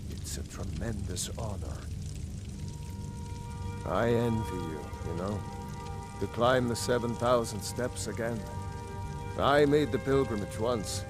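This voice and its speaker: A man's voice: medium pitch, lightly acted. A man playing a dignified city ruler in a fantasy game, speaking in a formal, reflective, stately manner. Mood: firm, solemn, then wistful. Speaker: voice actor in a fantasy video game.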